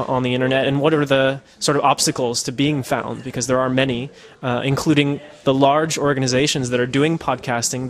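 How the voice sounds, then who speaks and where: A man from the United States, a podcaster, answering interview questions in a casual, thoughtful way with many fillers and restarts. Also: mid-range voice. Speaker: podcaster and citizen journalist. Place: Netherlands.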